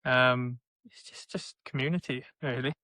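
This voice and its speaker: A young man with a South Wales valleys accent, answering interview questions in a plainspoken, halting way. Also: medium pitch, fairly even intonation, sharp tone, dry recording. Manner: plainspoken, halting, searches for words. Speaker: interviewee, election candidate.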